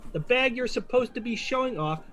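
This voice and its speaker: A man's voice: extremely high pitch, animated, brisk, fairly bright tone, slight background noise. A man playing an exasperated, officious department store manager who scolds staff in an audio drama.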